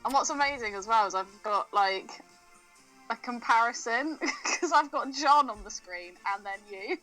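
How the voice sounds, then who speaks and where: A high-voiced woman co-hosts a film podcast with giggly, sweary banter. Her pitch swings about in a lively way, and light music plays behind her. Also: normal speed, low-quality recording. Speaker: podcast co-host.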